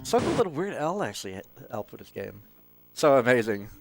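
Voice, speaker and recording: A man's voice: mid-range voice, very animated, moderate pace, creaky. A man giving casual, jokey live commentary as he plays through a video game. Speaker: speedrunner. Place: live gaming marathon event. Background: game music.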